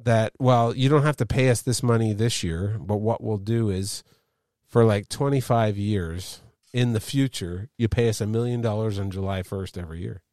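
A man's voice: lowish voice, moderate pace, no echo, clean studio sound. A middle-aged man hosting a casual sports chat, rambling as he tells stories. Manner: animated, rambling storyteller. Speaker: podcast host.

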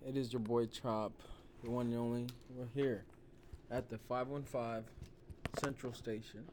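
A man hosting his own vlog, hoarse from losing his voice, rambling loosely in casual talk full of "you know" and "like". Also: medium pitch, level tone, measured pace, darkish tone, slight background noise. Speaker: vlog host. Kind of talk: casual opinion talk to camera.

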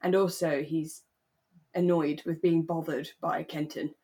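A woman co-hosting a relaxed podcast chat about a radio drama, telling things in an animated, dramatic way. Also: sits low, unhurried, husky. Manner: animated, dramatic storytelling with acted-out asides. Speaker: podcast co-host; actor.

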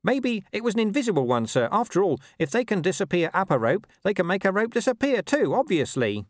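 Man doing a high, wheedling little watchman. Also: big pitch swings, fairly quick, dry recording, clean studio sound. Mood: eager, wheedling. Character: cheeky, servile low-ranking watchman, male, eager and wheedling. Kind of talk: audiobook character voice.

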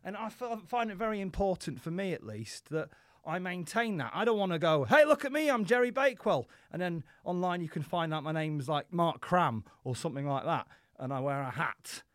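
A man from Yorkshire, a podcast guest chatting in character with deadpan, self-mocking comic asides. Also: fairly high pitch, brisk, dry recording, clean studio sound. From Yorkshire, England. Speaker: podcast guest, wrestler and comedian.

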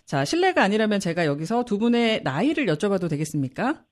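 A radio host interviewing guests with a polite, smooth broadcast delivery. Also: mid-range voice, big pitch swings, brisk.

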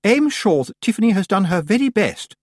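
Prim, pompous lady witch played by a male narrator: very high, swooping, over-polite. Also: big pitch swings, a little fast, no echo, studio quality. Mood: pompous, grave. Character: haughty, primly formal middle-aged female witch.

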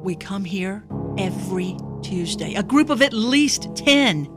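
Morning-radio woman, unhurried pace, casual and playfully theatrical, over a loud music bed. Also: medium pitch, expressive. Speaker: radio morning show crew member. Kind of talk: casual group banter and mock-dramatic review reading.

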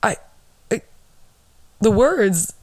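Low-voiced young woman rambling. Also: very animated, extremely slow, a little mellow, no echo. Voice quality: low alto voice. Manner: rambling, profane, self-mocking. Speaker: podcast host. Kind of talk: casual storytelling monologue.

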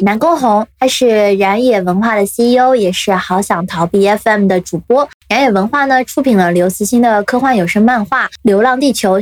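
A woman hosting a podcast in casual chat, animated, giggly and teasing, close on the mic. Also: medium pitch, dry recording. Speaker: podcast host. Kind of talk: casual chat, introducing a guest.

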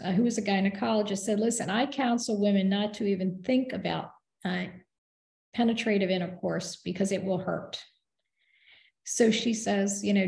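Throat-clearing woman with a gravelly voice. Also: medium pitch, mellow, reverberant. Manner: clears throat often, earnest and measured. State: losing her voice. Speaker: guest. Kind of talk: conversational interview.

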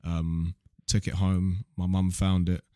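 A man's voice: sits low, flat delivery, slow, mellow, dry recording, clean studio sound. A young man with a southern English accent chatting casually on a podcast in a blunt, slangy, sweary way. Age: young adult. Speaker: podcast guest, online video creator.

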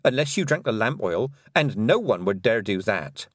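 A man's voice: lowish voice, sing-song, normal speed, no echo, studio quality. A man voicing a determined young girl witch who speaks earnestly.

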